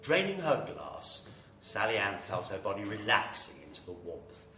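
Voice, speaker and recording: A man's voice: fairly low pitch, huge pitch swings, moderate pace, bright, forceful tone, exaggerated voice. A man playing a grave, theatrical narrator of a horror radio drama, telling it with suspense. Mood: calm, descriptive. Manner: theatrical, suspenseful, building to intensity. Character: grave, ominous male horror story narrator. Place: live performance venue with audience. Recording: echoey live hall, distant mic, faint noise.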